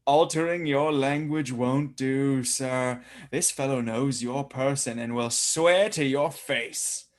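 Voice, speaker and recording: An actor playing a commanding young gentleman, with a theatrical, declamatory delivery. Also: medium pitch, takes it slowly, few pauses, natural voice. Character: young gentleman arresting a traitor, male, commanding. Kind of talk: stage-play reading.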